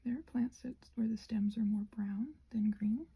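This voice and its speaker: A woman rambling casually as she crafts for her ASMR channel, halting and self-deprecating, with a husky voice that often drops toward a whisper. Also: a little high, fairly even intonation, warm tone. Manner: halting, meandering, self-deprecating, searching for words.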